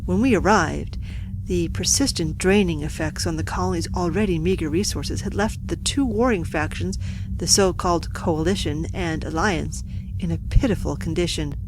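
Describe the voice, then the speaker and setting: Actress as calculating alien female envoy, fairly low voice, formal, measured, condescending, sci-fi audio drama, noticeable background ambience. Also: noisy background, close to an everyday voice. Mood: smug, matter-of-fact. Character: coolly calculating alien Vorta woman, polite Dominion administrator.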